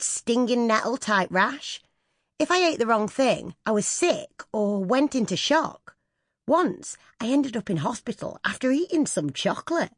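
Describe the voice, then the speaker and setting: A woman's voice: a little high, no echo, studio quality. A woman reading a scripted first-person memoir as an audiobook narrator.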